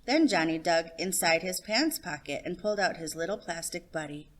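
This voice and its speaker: A woman's voice: on the low side, expressive, moderate pace. A woman storyteller giving a scripted narration of a children's story.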